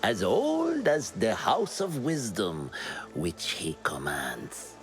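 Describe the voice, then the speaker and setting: A man's voice: fairly low pitch, huge pitch swings, slow pace, faint music behind, full character voice, poor audio. An actor playing a proud, theatrical old male scholar in a video game who commands the crowd around him. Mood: commanding. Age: older. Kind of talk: video game cutscene acting.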